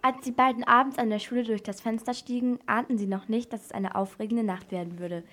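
A woman's voice: on the high side, steady tone, fairly quick, a bit bright. A female narrator telling a scripted story in a children's radio play.

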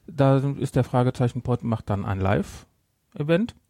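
A man's voice: mid-range voice, lively intonation, a little slow, dark tone, dry recording. Male podcast host, dry close sound. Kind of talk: casual podcast chat.

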